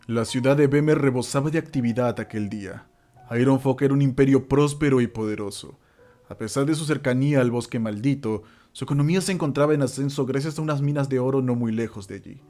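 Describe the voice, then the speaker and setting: A man narrating a horror radio drama from a script, theatrical and suspenseful, with dramatic pauses. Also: medium pitch, a little mellow.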